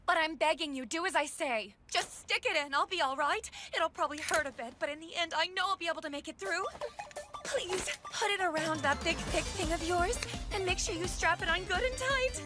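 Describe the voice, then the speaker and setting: High, theatrical, cheeky anime girl. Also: brisk, loud music behind the voice. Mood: urgent pleading. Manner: theatrical, pleading, teasing. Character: pushy, cheeky, mysterious anime girl with innuendo. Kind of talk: English anime dub acting.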